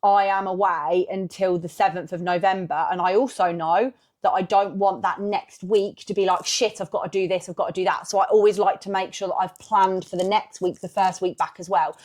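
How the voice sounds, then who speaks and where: A woman's voice: fairly low pitch, steady tone, moderate pace, a little mellow. A woman in her thirties co-hosting a podcast, talking casually with lots of fillers. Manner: chatty, frequent fillers. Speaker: podcast co-host. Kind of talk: casual chat.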